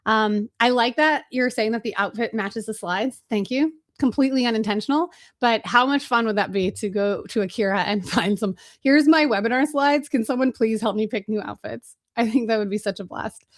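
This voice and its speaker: Energetic fast-talking woman hosting a webinar. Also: a little high. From Chicago. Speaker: webinar host and agency trainer. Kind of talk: live webinar teaching.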